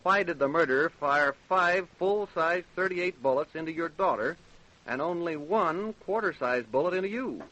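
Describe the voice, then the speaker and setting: A blunt American radio-drama sheriff. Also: male voice, on the high side, animated, normal speed, bright, forceful tone. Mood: pressing, probing. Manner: curt, pressing questioner. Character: blunt, dogged male sheriff interrogating suspects. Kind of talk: old-time American radio crime drama acting. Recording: dull, lo-fi old radio recording.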